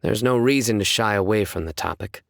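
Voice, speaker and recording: An actor playing a stern, composed young male judge in a video game, speaking in a measured, serious, deadpan way. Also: lowish voice, gravelly, dry recording, studio quality. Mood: calm, stern. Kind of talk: scripted game character voice lines.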